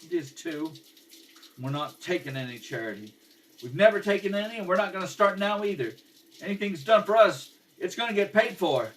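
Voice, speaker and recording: A man's voice: high-pitched, animated, brisk, slight background noise, voice pushed up. A man voicing a proud, earnest young boy who is protective of his family in a radio drama read-through. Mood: defiant, insistent. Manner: earnest, insistent. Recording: distant mic in a home kitchen.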